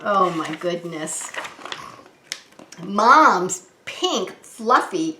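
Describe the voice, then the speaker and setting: Preschool teacher lady doing story time, slow sing-song voice talking to little kids, echoey room. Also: mid-range voice. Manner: animated, sing-song, talking to small children. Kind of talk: children's story time read-aloud.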